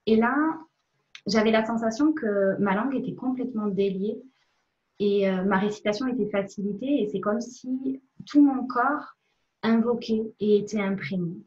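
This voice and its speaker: A woman's voice: fairly high pitch, darkish tone. Reflective woman, muffled recording. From France. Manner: reflective, hesitant with frequent pauses. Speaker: member of a religious community giving a testimony. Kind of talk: personal spiritual testimony, spoken to a recording. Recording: dull, muffled lo-fi sound.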